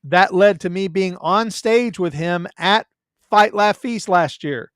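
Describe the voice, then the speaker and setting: A man with a Southern US accent chats as a guest, poking fun at himself as he tells stories. His voice sits a little high and comes across smooth and resonant. The sound is close and dry, with no room in it. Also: takes it slowly, no room sound. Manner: self-deprecating, joking storyteller. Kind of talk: casual chat.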